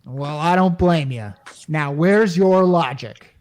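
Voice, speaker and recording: An actor playing a frantic everyman repairman and husband in a radio comedy, excitable, slangy and stammering. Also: a little high, takes it slowly. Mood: resolute. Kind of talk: 1950s radio comedy acting.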